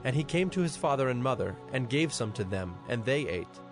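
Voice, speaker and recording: A man's voice: medium pitch, lively intonation, a little fast. Male scripture narrator over music.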